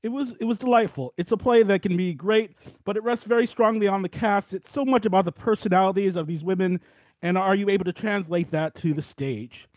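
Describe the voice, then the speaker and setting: A man's voice: high voice, fairly quick, no room sound. A man hosting an interview podcast, asking rambling questions that he restarts often. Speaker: podcast host. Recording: dry, dull lo-fi audio.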